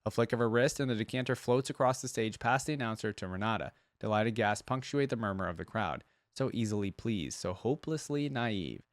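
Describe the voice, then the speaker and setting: A man's voice: lowish voice, a little fast, few pauses, no echo. A man hosting a reaction video, reading lore aloud and commenting in a conversational, explanatory way.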